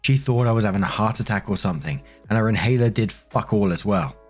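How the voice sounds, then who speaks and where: A man with a fairly low voice narrates online stories for listeners. He reads posts and comments aloud in a casual host style. Music plays faintly behind him. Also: quick pace.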